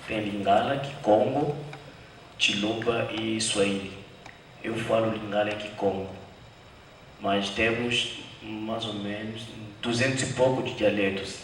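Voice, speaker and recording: A man from the Democratic Republic of the Congo with a French accent, a filmmaker guest speaking carefully and a little haltingly in his second language. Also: lowish voice, steady tone. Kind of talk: panel talk. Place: screening room, large hall. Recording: echoey hall, distant, noisy.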